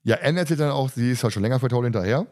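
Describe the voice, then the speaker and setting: A man's voice: medium pitch, expressive, rapid-fire, a little mellow. Casual male podcast host. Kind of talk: casual podcast chat, retelling an audio drama episode.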